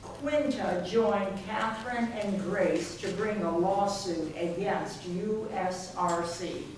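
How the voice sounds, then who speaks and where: Female stage narrator, unhurried scripted reading, heard in a very echoey hall. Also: mid-range voice, darkish tone. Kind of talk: scripted readers theater narration. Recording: very echoey hall, far mic, faint noise.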